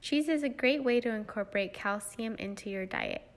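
A woman's voice: medium pitch, wildly swooping pitch, normal speed, vocal fry. A young woman explaining a cooking demonstration step by step, in an instructional style. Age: young adult. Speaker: cooking demo host, health educator.

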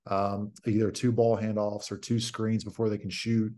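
A man's voice: fairly low pitch, level tone, moderate pace, dark tone. A man in his thirties, a basketball coach, explaining a practice drill plainly over a video call. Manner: plain, explanatory, many fillers. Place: home office indoors. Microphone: video call webcam.

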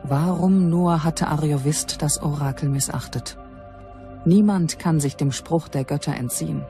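Grave Germanic priestess, over music. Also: female voice, low voice, unhurried, mellow. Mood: grieving, bitter. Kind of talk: dramatised first-person documentary narration. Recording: music behind the voice.

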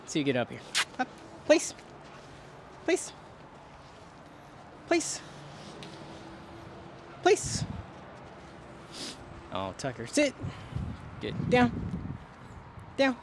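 A man's voice: on the high side, slight background noise. A man working as a dog trainer, talking casually and informally with wry asides while handling a dog outside. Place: outdoors in a narrow fenced turf yard.

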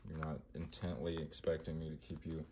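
A man with a monotone voice casually talking through his card collection, mumbling names as he flips through binders. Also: a bass voice, fairly quick, background noise. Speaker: collector vlogger.

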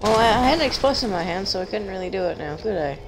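A woman with a deep voice, chatting casually as she plays a game on stream, joking at herself and humming while she searches. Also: animated, rapid-fire, soft background music, rough recording. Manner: chatty, self-mocking, giggly, hums while searching. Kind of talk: casual gameplay commentary.

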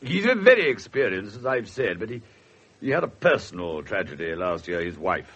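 Formal English police superintendent, very animated. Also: male voice, lowish voice, moderate pace, breathy edge, exaggerated voice. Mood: awkward, confiding. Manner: formal, hesitant when uneasy. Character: pompous, courteous male police superintendent, awkwardly delicate. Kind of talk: 1970s radio drama acting. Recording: slightly muffled 1970s radio broadcast.

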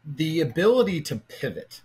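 A man's voice: on the high side, sing-song, moderate pace, a little mellow. A middle-aged man hosting a podcast, chatting energetically with a guest over a video call from his home office. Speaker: podcast host. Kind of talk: casual interview chat. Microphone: webcam on a video call.

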